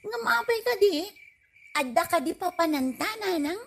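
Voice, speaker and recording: A woman's voice: extremely high pitch, animated, moderate pace, a little hiss or hum. A woman with an Ilocano accent playing a sweet, dutiful young daughter in a comedy radio drama. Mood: sweet, obliging.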